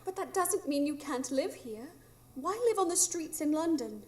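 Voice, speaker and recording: A woman's voice: high-pitched, expressive, normal speed, a little mellow. A woman playing an earnest, resolute young heroine in a live radio drama, articulate in her phrasing. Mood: questioning.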